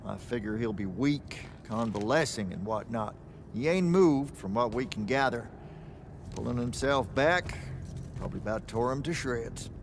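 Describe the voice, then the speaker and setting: A man's voice: mid-range voice, animated, normal speed, slight background noise, close to an everyday voice. Veteran mage for a game cutscene, male actor: mid-range voice, wry, folksy, salty, shrewd. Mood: wry, matter-of-fact. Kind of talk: video game cutscene acting.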